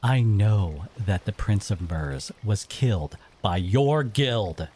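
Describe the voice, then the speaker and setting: A man acting as a bitter exiled merfolk interrogator in an audio drama, speaking in a stern, accusing way with dramatic pauses. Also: fairly low pitch, lively intonation, mellow, husky, exaggerated voice. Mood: stern, menacing.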